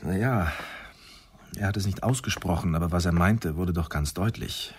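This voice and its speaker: An actor playing a brooding expectant father in a German radio drama, husky and weighing his words. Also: deep voice, moderate pace, slight background noise, lightly acted. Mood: troubled, hesitant. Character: brooding expectant father facing a grave decision.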